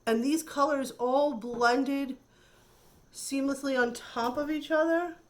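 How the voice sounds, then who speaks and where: A woman's voice: high-pitched, steady tone, slow pace. A woman chatting casually through a makeup tutorial, thinking aloud and admiring the colors, her voice a little nasal from a cold. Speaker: beauty vlogger.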